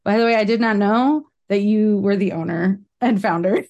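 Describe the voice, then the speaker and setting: A woman's voice: medium pitch, normal speed, darkish tone, lo-fi sound. An American woman in her thirties hosting a podcast interview, chatting casually with rambling side notes and frequent laughs. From United States. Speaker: podcast host.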